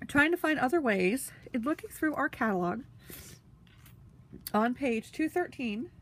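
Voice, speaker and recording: A woman with a stuffy, sniffly nose, chatting casually with lots of fillers while she demonstrates a craft tool. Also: on the high side. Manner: talks while handling tools. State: congested, sniffly.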